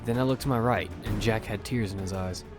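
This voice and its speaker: Low-voiced man narrating over music. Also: a little fast. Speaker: narrator and protagonist. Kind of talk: first-person scripted dramatic narration.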